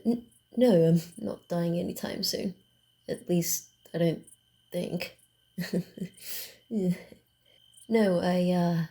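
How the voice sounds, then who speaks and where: Woman playing a sly, creaky-voiced female vampire. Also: on the low side. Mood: hesitant, guarded. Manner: theatrical, hushed, deliberate with breathy asides. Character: sly, guarded female vampire, centuries-weary, teasing and secretive. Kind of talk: ASMR roleplay voice acting.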